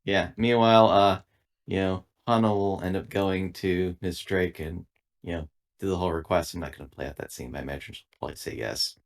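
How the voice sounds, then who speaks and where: Low-voiced man at a tabletop game. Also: steady tone, a little fast. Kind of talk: casual tabletop game narration. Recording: distant, quiet laptop pickup.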